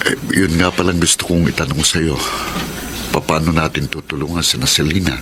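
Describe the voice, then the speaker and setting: Very deep-voiced husband. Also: male voice, fairly even intonation. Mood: calm, sympathetic. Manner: measured, reassuring. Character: calm, supportive middle-aged husband and father. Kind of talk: radio drama acting. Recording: noisy track, ambient rumble.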